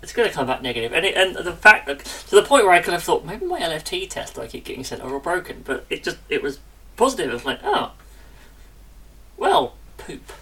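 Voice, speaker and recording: Woman, podcast co-host, bright tone, a touch off-mic, chatty and self-correcting. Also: fairly low pitch, fairly quick, distant mic, slight background noise, poor audio. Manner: chatty, self-interrupting, lively. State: recovering from illness. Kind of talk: casual chat.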